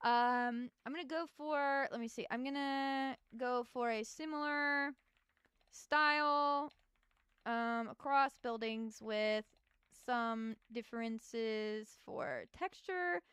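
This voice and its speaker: A woman's voice: a little high, slow pace, fairly bright tone, clean tone, no echo. A young adult woman streaming as a VTuber, chatting casually with her chat while she builds in a game and thinks aloud.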